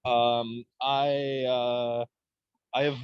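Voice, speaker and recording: A man's voice: mid-range voice, level tone, drawn-out words, clear, resonant tone, dry recording, lo-fi sound. A young man from Ukraine whose first language is Russian, answering as an interview guest, hesitant with many fillers and restarts.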